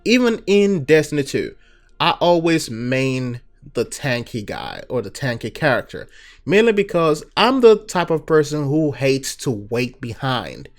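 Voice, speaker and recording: A young Nigerian man with a Nigerian accent hosts a casual viewer Q&A. His voice sits at a middling pitch, and he talks in a loose, relaxed way, dropping in fillers and "you know" as he goes. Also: measured pace, soft background music. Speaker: gaming channel host.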